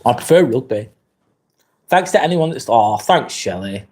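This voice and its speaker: A man's voice: medium pitch, moderate pace. Lancashire guy, 40s, rambly live stream chat, easygoing, lots of ums. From northwest England. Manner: relaxed, rambling, reads chat comments aloud with many fillers. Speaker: livestream host.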